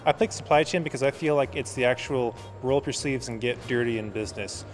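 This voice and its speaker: A man, an MBA student, giving energetic, down-to-earth interview answers. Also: medium pitch, quick pace, faint music behind.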